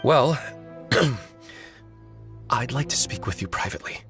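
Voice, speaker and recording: A calculating novelist out for revenge in a video game. His medium-pitched voice is articulate and deliberate. He phrases everything with a theatrical, persuasive eloquence over faint music. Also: lively intonation. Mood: sly. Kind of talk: video game character acting.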